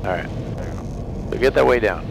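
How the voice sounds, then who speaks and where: A man's voice: on the low side, lively intonation, normal speed, vocal fry. A man coaching casually as a flight instructor, informal and joking, through an aircraft headset. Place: small light aircraft cockpit. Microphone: aviation headset. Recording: loud engine noise, aircraft headset intercom.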